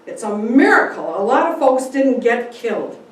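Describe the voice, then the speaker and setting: A woman's voice: a little high, sing-song, moderate pace, voice pushed up. Woman acting a folksy frontier father, echoey room. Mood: animated, amazed. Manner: folksy, emphatic storytelling. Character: weary, folksy frontier father recounting his adventures. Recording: very echoey room, distant mic, faint noise.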